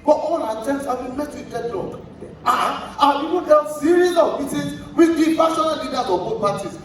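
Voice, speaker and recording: Exasperated, high-pitched government official on stage. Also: male voice, moderate pace. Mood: agitated pleading. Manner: emphatic, deferential, theatrical. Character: exasperated government official pleading with his leader. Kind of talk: stage play acting. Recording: echoey theatre stage, distant, noisy.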